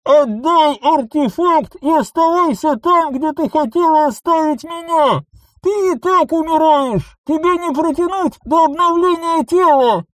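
A male narrator voicing a hateful, betrayed woman shouting from inside a space helmet, her voice muffled and strained. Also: very high-pitched, slow pace, hardly any pauses, no room sound, pitched above the performer's own voice. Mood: furious. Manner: shouting accusations. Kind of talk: audiobook character voice.

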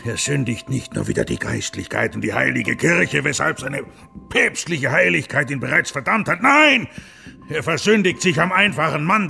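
A male religious reformer under attack in a historical radio play. The voice is heavily creaky and moves widely in pitch, from brooding to biting. The delivery is sharp, ironic and theatrical. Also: full character voice. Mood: mock outrage. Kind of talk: German radio play acting.

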